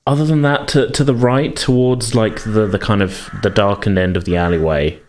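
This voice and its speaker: Hesitant low-voiced male game master. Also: fairly even intonation, moderate pace, a little mellow. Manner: hesitant, heavily hedged, piecemeal phrasing. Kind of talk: improvised tabletop game narration.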